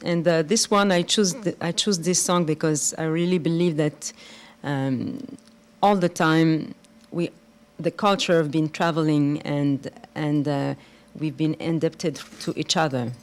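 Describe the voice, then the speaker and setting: A young woman from Morocco, raised in France, answering interview questions haltingly with many fillers. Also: low voice, steady tone, slight background noise. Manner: halting, many fillers, non-native phrasing. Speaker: guest singer. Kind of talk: live studio interview.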